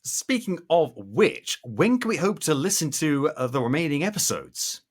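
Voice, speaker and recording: A man's voice: on the high side, sing-song, normal speed. A man hosting a podcast in an upbeat style, with an enthusiastic, playful manner. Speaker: podcast host.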